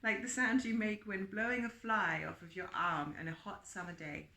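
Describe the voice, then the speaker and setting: A woman reading a book aloud in an expressive, emphatic way, presenting it with chatty asides. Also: medium pitch, normal speed. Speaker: reader and presenter of book extracts. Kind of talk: read-aloud with chatty asides and character quotes. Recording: roomy, echoing sound.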